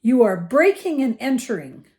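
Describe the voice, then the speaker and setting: A woman voicing an indignant, pompous uncle in a children's storybook, loud, formal and demanding. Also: fairly high pitch, big pitch swings, normal speed, voice pushed up.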